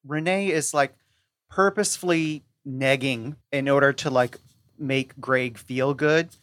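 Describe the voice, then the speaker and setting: Low-voiced woman, opinionated casual chat. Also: a little slow, dry recording. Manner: animated opinions, frequent "like".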